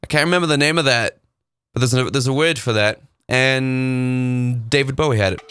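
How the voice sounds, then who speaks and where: A man rants casually from his desk, blunt and sarcastic, his voice sitting at an ordinary middle pitch. Clear music plays steadily underneath him. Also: moderate pace, fairly bright tone. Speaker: YouTube reaction host. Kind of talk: casual ranting commentary.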